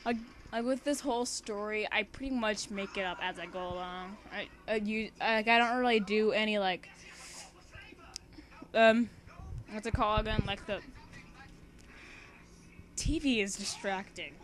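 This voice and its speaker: A woman's voice: medium pitch, unhurried, long pauses, fairly bright tone. A young woman chatting casually as she reads a story aloud, with a giggly, rambling, informal manner. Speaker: fan fiction author and reader.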